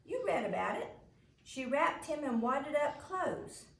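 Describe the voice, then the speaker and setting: A woman doing a loud, high, curious boy. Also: big pitch swings, measured pace, creaky, roomy sound, exaggerated voice. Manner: loud, blunt, high-pitched questioning. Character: bold, curious, untaught young boys interrupting with questions.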